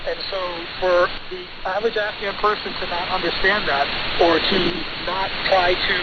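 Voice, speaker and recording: Male American lawyer as interview guest, fairly high voice, emphatic and argumentative, muffled radio sound. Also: fairly even intonation, normal speed. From United States. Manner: assertive, emphatic, insistent. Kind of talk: broadcast interview answers, argumentative. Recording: noisy, dull shortwave radio relay.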